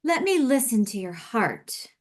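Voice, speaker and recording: A woman playing a brisk, commanding nurse in an audio play, deadpan, clipped and formal. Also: on the high side. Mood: commanding, clinical.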